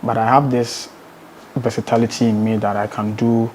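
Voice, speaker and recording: A man's voice: mid-range voice, flat delivery, moderate pace, darkish tone, a little hiss or hum. A man with a Ghanaian accent speaking as a musician being interviewed, emphatically, repeating words and posing rhetorical questions. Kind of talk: conversational interview.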